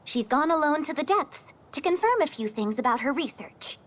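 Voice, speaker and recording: An actress playing an excitable, chatty young castle maid with a wild imagination in a video game cutscene, theatrical and gossipy. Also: female voice, high-pitched, moderate pace. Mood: earnest, puzzled. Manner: theatrical, gossipy, breathless speculation. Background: music.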